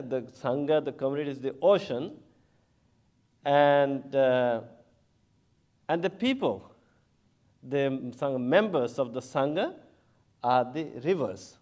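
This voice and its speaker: A Buddhist monk from Bangladesh speaks at a symposium, taking his time and pausing on fillers. His medium-pitched voice is slow and even, and he breaks into soft chuckles as he talks. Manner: unhurried, chuckles often, many hesitations. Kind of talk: symposium talk.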